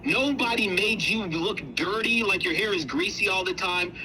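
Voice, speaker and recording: A man's voice: high voice, normal speed, sharp tone, slight background noise, rough recording. A man giving casual, opinionated commentary in an indignant, emphatic way, often repeating himself for force. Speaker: co-host.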